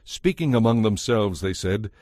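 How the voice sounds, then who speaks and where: Low-pitched male Bible narrator, dry sound. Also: expressive, normal speed, a little mellow, dry recording.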